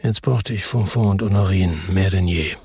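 A man's voice: sits low, moderate pace, a little mellow, husky, no echo, clean studio sound, exaggerated voice. An actor playing a weary, wary male investigator who tells his own story tersely in a noir radio drama. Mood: brooding. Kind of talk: first-person noir radio drama narration and dialogue.

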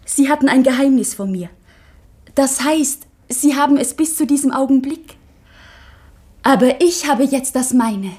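A woman's voice: high-pitched, warm tone. An actress playing an anxious, earnest young convent schoolgirl, confiding intensely in her mother in a 1960s radio drama. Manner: intense, confiding, halting with emotion.

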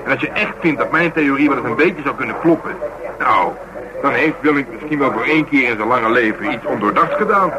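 Casting: male actor for a self-justifying Dutch police detective, mid-pitched, colloquial and grumbling, Netherlands accent, radio play. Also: muffled recording, lightly acted. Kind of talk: naturalistic radio drama dialogue.

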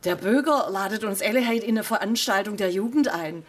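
A woman's voice: mid-range voice, brisk, recorded from a distance, background noise, close to an everyday voice. A woman with a southern German accent playing a chatty, inquisitive provincial church woman in a radio drama. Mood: chatty, puzzled.

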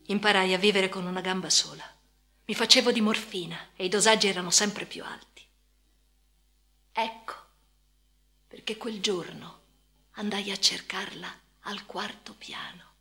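An actress playing a haunted, obsessive woman in an intimate radio drama monologue, breathy and often whispering, with slow, halting, intense phrasing. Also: mid-range voice, steady tone, pushed and bright. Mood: haunted, detached. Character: haunted, obsessive female amputee confiding in her chosen successor. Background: music.

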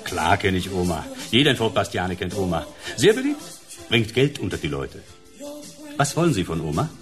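A shifty, talkative barkeeper, rattling along with a pitch that jumps about. He is offhand and gossipy, in radio-play style with faint music behind. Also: very animated, a bit bright. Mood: smug, chatty. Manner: gossipy, offhand, quick-talking. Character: chatty, shifty male barkeeper in a border town.

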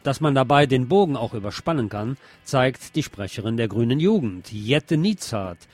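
Male presenter, mid-pitched voice, reading scripted news commentary with music underneath. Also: animated, moderate pace, darkish tone, no room sound.

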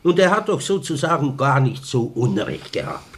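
A man's voice: mid-range voice, sing-song, moderate pace, a bit bright. A man playing an earnest, stubborn party comrade in a German radio play, speechifying in an officious, repetitive way. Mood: insistent.

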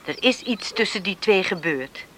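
A woman acting a caring, sensible farm matriarch in a Dutch radio play, in an expressive, reflective manner. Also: mid-range voice, normal speed, lightly acted. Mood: concerned. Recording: noisy radio play recording.

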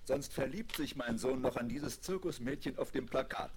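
A middle-aged man playing a genial, dryly teasing father with a gravelly voice in a 1970s German children's radio play. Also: mid-range voice, normal speed, lightly acted. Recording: crackly 1970s vinyl rip with clicks.

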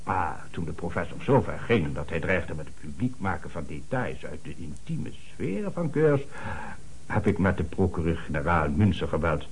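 An actor playing an earnest, formal middle-aged notary in a radio play, speaking in a measured way with dramatic emphasis. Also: male voice, deep voice, very animated, slight background noise. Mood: indignant.

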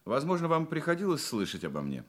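A polite confident detective in a radio drama, really animated delivery, deliberate questions. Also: male voice, on the high side, heavily acted. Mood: courteous, probing. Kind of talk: Russian radio play acting.

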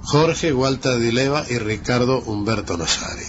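A man's voice: medium pitch, moderate pace. Male radio host, noisy dull recording. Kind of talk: conversational radio interview.

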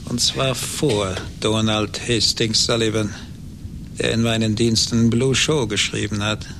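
An actor playing a cool, smug schemer in a German radio play, measured and self-assured. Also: male voice, fairly even intonation. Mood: cool, gloating. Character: cool, smug, scheming man who ruined a former friend. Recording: noisy, rumbling 1980s radio play recording.

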